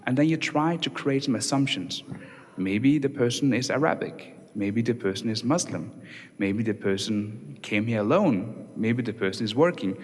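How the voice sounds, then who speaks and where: A man's voice: mid-range voice, normal speed, slightly rough. Danish-born man speaking in an echoey church. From Denmark. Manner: relaxed, conversational, many fillers and restarts. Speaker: doctoral researcher giving a talk. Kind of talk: conference presentation. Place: church hall. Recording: long reverb of a church hall.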